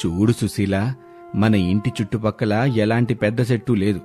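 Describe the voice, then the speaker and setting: A man's voice: lowish voice, steady tone, moderate pace, darkish tone, faint music behind, lightly acted. A man voicing an irritable village elder who is worn out by two fools. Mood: flustered. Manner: exasperated, grumbling.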